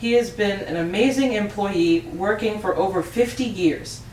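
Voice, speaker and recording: A woman's voice: medium pitch, moderate pace, a little mellow, lightly acted. A woman playing a friendly, chatty factory boss in an amateur table read, talking in an upbeat, rambling way. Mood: upbeat, announcing. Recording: roomy, distant mic, faint noise.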